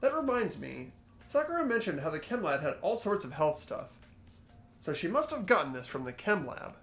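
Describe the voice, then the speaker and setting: Casting: amateur playing puzzled, earnest schoolboy detective in a mystery game; animated mid pitch, hesitant delivery. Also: normal speed, faint music behind. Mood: thoughtful. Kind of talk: amateur fan voice acting of a game script.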